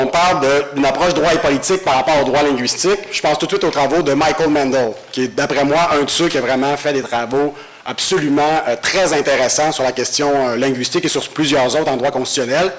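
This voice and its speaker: A man gives an academic law lecture at a university, speaking through a head cold in a measured, explanatory way. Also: fairly high pitch, rough recording. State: has a cold. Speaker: guest lecturer, law professor.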